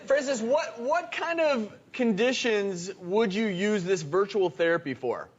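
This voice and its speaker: Guy hosting a tv show, pretty high voice, bit of crowd behind him. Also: animated, measured pace, highs cut off. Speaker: talk show host. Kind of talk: upbeat TV show presenting. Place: TV studio stage.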